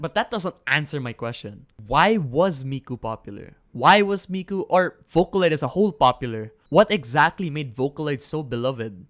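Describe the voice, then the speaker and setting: A young adult narrating a casual video essay in an animated, informal, sweary way. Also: mid-range voice. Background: background music.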